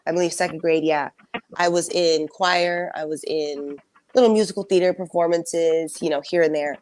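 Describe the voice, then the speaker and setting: A young woman singer telling her story in a casual interview, soft-spoken and reflective, with frequent fillers. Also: sits low. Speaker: singer and songwriter guest.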